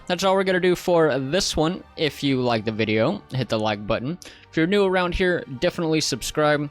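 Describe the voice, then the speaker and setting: A man narrating a software tutorial in a casual, informal, step-by-step way. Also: on the high side. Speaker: tutorial host.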